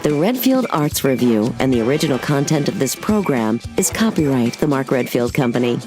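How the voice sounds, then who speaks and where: Male announcer for scripted credits, medium pitch, prominent music bed. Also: very animated, a little fast.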